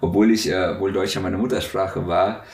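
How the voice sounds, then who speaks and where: A man's voice: low-pitched, steady tone, brisk. A man in his thirties from Russia, answering interview questions in a hesitant way with many filler sounds. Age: 30s. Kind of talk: conversational interview. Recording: echoey room, distant mic.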